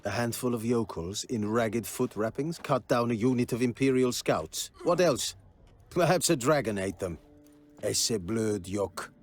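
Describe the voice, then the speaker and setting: An actor playing a stern, proud army quartermaster in a fantasy video game, speaking formally and precisely in a husky voice. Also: male voice, medium pitch, animated, a little slow, faint music behind. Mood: haughty, scornful.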